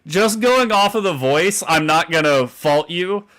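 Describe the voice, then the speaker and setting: A man in his twenties with a relatively deep voice, hosting a casual chat podcast and teasing his co-hosts with frequent laughter. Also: animated, measured pace, a bit bright. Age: 20s. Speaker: podcast host.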